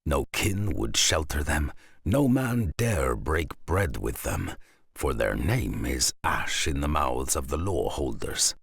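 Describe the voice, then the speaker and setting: A man playing a grave old Norse storyteller, narrating a saga in a solemn, ominous way with a gravelly, husky voice. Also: a bass voice, big pitch swings, slow, fairly bright tone, dry recording, studio quality, exaggerated voice.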